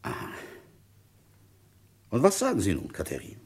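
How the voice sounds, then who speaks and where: An actor playing a methodical, dryly patient village police commissioner who thinks aloud conversationally in a German radio drama. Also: male voice, low voice, huge pitch swings, moderate pace, vocal fry, a little mellow, husky, exaggerated voice. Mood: patient, probing. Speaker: narrator and lead detective in a radio play. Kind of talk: German radio drama, intimate first-person narration.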